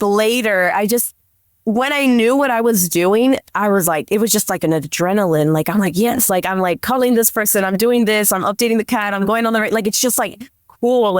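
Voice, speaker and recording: A woman's voice: mid-range voice, no echo, studio quality. A woman speaking as a podcast guest, telling work anecdotes casually and informally, peppered with "like" and quoted dialogue. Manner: chatty, informal, heavy use of "like", quotes dialogue. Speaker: podcast guest, police dispatcher.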